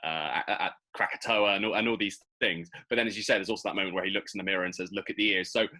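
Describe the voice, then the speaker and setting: Fast-talking man with a bright, forceful voice. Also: low voice, steady tone. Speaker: podcast host. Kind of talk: casual podcast chat about a TV series.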